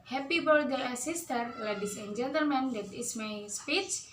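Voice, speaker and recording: A young woman with an Indonesian accent opening and closing a formal speech in a halting way. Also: high-pitched, expressive, a loud crowd. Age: young adult. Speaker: student speaker. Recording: roomy echo, from far off, clear noise.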